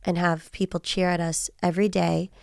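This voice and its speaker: A woman's voice: on the low side, level tone, normal speed, gravelly, darkish tone, no room sound. Woman chatting casually, dry close sound. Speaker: podcast guest. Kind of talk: casual chat.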